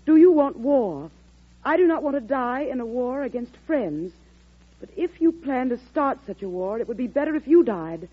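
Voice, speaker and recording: An actress playing an earnest, anxious, deferential presidential secretary in old-time radio drama style, with a slightly husky voice. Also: female voice, sits high, animated, normal speed. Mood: grave reading. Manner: deferential, concerned. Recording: dull vintage radio recording.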